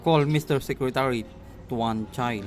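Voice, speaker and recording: A man playing a self-important scholarly magistrate in an amateur radio drama, speaking formally and haltingly. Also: medium pitch, natural voice. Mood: commanding. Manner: halting, stumbling delivery with formal wording. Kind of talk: amateur stage-style radio drama reading. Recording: noisy amateur recording with steady background noise.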